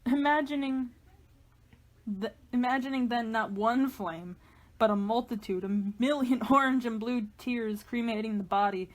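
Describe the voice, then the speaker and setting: A woman's voice: on the high side, moderate pace, natural voice. Haunted, brooding narrator voiced by a woman. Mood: bleak, resigned. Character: haunted, paranoid narrator unravelling over an obsessive manuscript. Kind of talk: dramatic monologue reading.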